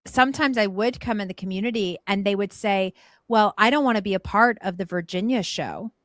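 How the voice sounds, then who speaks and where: A woman in a frank podcast-style chat, speaking earnestly and reflectively at a mid pitch. She restarts her thoughts and repeats words as she works through them, and her voice sits close and dry with no room around it. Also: a little fast, fairly bright tone, no echo. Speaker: guest. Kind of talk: candid conversation.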